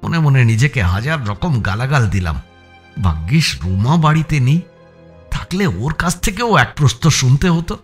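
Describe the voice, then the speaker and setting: A man's voice: medium pitch, husky. A man playing an anxious amateur sleuth, narrating his own suspense story in a dramatic, scripted style. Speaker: first-person narrator.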